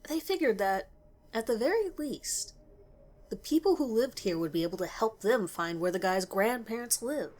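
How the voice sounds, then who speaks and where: A woman reading a scripted first-person horror story in a measured storytelling way with expressive emphasis. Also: fairly high pitch.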